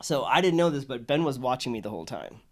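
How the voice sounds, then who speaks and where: A man's voice: mid-range voice, very animated, fast. Enthusiastic man telling a story. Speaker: podcast host. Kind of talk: casual storytelling conversation.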